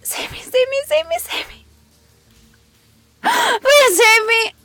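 Woman doing a captive fairytale princess, really high breathy voice, slow, tearful n pleading, close dry sound. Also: bright, forceful tone, dry recording, heavily acted. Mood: desperate pleading. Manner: breathy, tearful, gasping, often whispering. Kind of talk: intimate audio roleplay acting.

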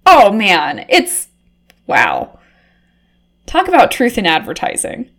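A woman voicing a flustered, easily aroused undercover reporter, swinging from hushed murmurs to shrill cursing. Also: huge pitch swings, slow, exaggerated voice. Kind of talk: comedic erotic audio drama monologue.

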